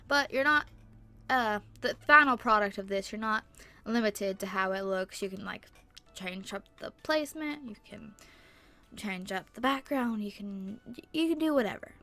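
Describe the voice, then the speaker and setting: A young woman with a stuffy nose and sore throat, rambling chattily through a casual tutorial voice-over on a boom-arm mic. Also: animated, normal speed, pushed and bright. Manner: rambling, chatty, lots of fillers. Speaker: YouTuber. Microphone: microphone on a boom arm.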